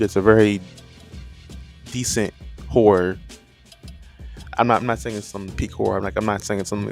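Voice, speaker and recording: Low-voiced man rambling with a stutter, music behind. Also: creaky. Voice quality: stuttering speech. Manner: rambling, repeats and rephrases himself. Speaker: video creator reviewing horror animation. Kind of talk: casual unscripted opinion commentary.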